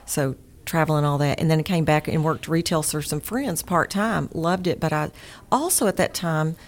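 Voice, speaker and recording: A middle-aged woman speaks in a low voice with an East Texas accent. She answers as a guest in a casual, conversational interview. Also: moderate pace. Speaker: interview guest, home warranty sales manager.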